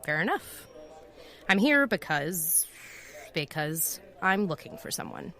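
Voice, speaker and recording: An actress playing a guarded, wry private eye in an understated noir audio drama. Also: female voice, lowish voice, very animated, slow pace, fairly bright tone, heavily acted. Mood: guarded, brooding. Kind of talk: noir first-person audio drama narration and dialogue.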